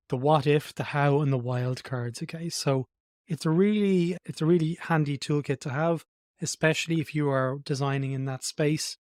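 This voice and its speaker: A man's voice: mid-range voice, darkish tone, dry recording, clean studio sound. A man hosting a design podcast, talking in an enthusiastic, conversational way. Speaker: podcast host. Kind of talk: podcast intro and interview.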